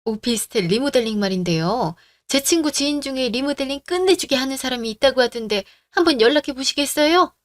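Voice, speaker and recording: A woman plays a courteous real estate agent in a radio drama. The agent is polite and businesslike. The tone is slightly high. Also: expressive, fairly quick, hardly any pauses.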